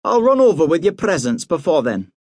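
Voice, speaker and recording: A man reading aloud and voicing a sulky, self-pitying Highland policeman with a cold and a Scottish Highland accent. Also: sing-song, fairly quick, no room sound. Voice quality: sulky, sibilant.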